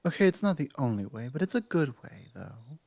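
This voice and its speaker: A woman playing a dominant but softening werewolf pack leader in an ASMR roleplay, speaking in a measured, earnest way with thoughtful pauses. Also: extremely low pitch, sing-song, gravelly, no echo, full character voice. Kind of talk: ASMR roleplay, close one-on-one address.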